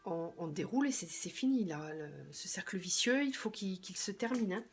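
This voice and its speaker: A woman with a slightly hoarse voice does a tarot reading at a mid pitch, neither high nor low. She thinks aloud as she goes, hesitant and unhurried, with her sentences often sinking to a softer, quieter voice. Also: lively intonation, soft background music. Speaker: tarot card reader.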